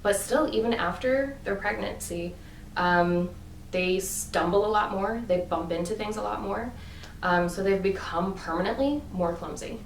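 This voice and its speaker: A woman's voice: medium pitch, unhurried, recorded from a distance, slight background noise. Earnest woman in her thirties, roomy sound. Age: 30s. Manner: earnest, emphatic, many fillers. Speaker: vlogger. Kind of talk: casual monologue to camera.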